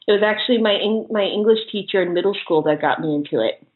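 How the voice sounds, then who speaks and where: Give a woman guest in a casual interview, chatty and prone to giggling through her anecdotes. Her voice sits at a middling pitch. The sound is thin and muffled, like a phone call. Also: quick pace. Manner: giggly, often laughing as she talks. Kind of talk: casual chat, telling personal anecdotes.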